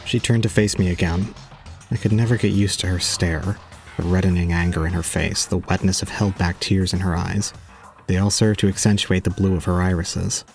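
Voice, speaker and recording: A man plays a detached young male student telling his own story in an audio drama. His low voice is solemn, resigned and calm, with soft music behind it. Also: moderate pace, vocal fry. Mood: brooding. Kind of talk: scripted first-person audio drama narration.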